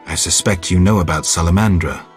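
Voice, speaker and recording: An actor playing a stoic, gruff monster hunter turned investigator in a video game, terse and flat in delivery. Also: male voice, sits low, brisk, soft background music, natural voice. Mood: cool, probing.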